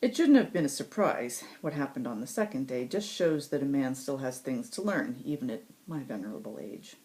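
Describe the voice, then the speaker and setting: A sardonic, crude supernatural hitman as played by a woman tells his own story in a very low, soft-edged voice. The delivery swings widely in pitch, full of sarcastic asides and dramatic pauses, like a cynical audiobook narrator. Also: animated. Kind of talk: first-person audiobook-style story reading.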